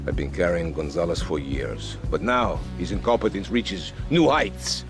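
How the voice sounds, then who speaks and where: An actor playing a courteous, theatrical Latin American colonel in a video game, speaking formal, florid English with a Spanish accent. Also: male voice, deep voice, normal speed. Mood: grave, courteous. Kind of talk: video game cutscene acting. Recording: music behind the voice.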